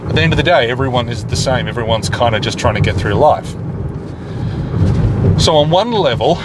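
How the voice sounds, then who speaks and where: A man's voice: medium pitch, animated, a little fast. An Australian man, middle-aged, talking casually and digressively while driving, often correcting himself and swearing mildly. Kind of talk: casual rambling monologue. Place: inside a car while driving. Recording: loud car and traffic noise. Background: road and engine noise.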